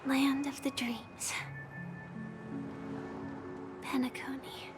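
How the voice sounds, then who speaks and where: A wistful young woman warrior from a video game, resigned to her fate. Her voice is high and gentle, often dropping to a breathy hush. She takes her lines very slowly, with prominent music underneath. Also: flat delivery. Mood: hushed, dreamy. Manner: slow, wistful, often hushed and breathy delivery. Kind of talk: solemn video game drama voice acting. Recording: loud music behind the voice.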